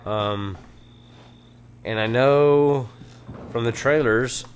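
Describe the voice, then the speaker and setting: A hoarse man with a Texan accent, chatting nervously and muttering as he plays a horror game, swearing at the scares. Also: medium pitch, extremely slow, slight background noise. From Texas. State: hoarse from allergies. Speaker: let's play gamer.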